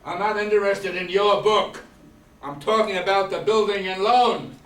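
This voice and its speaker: An older man playing a cold, scornful old businessman in an amateur radio play, blunt and deliberate. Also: high voice, fairly bright tone. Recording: echoey room, distant mic, audience murmur.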